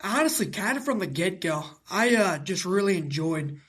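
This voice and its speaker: A man in his twenties from Iowa with a raspy voice, answering interview questions in a halting, casual way with many fillers. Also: sits high, expressive, a bit bright. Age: 20s. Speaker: guest, young cattle breeder.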